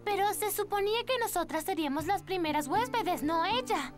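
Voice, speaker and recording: An actress playing a perky, scheming young girl in a children's cartoon, with an expressive, dramatic delivery. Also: very high voice, brisk, music in the background. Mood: indignant, then eager.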